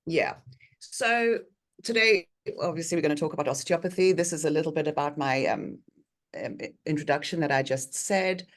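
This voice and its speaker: A woman in her forties from South Africa, an osteopath giving a chatty online talk with frequent ums, on a video call from a bright treatment room. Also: deep voice, lively intonation, normal speed. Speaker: osteopath guest speaker.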